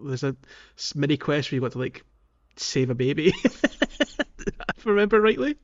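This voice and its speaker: A man's voice: fairly high pitch, big pitch swings, moderate pace, muffled recording. A man hosting a casual gaming podcast, often searching for his words. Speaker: podcast host.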